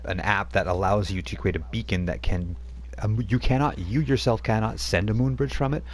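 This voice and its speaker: A man's voice: lowish voice, moderate pace. A man narrating as game master of a tabletop role-playing game, rambling with many fillers.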